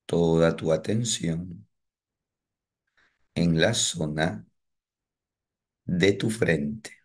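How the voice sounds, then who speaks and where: A man leading a guided morning meditation, giving calm, repetitive breathing instructions with long pauses. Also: deep voice, breathy edge.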